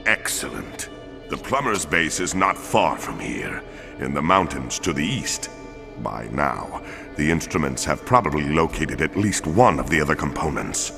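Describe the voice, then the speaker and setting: A four-armed alien cop with a super deep voice, formal and stiff, game music going under it. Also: male voice, very animated, creaky, long reverb tail, full character voice. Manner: formal, measured, commanding. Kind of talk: video game cutscene acting.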